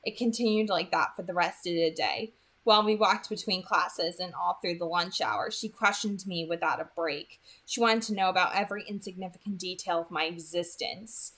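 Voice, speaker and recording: A woman's voice: fairly low pitch, moderate pace, a bit bright. Woman reading a novel aloud. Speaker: hobby audiobook reader.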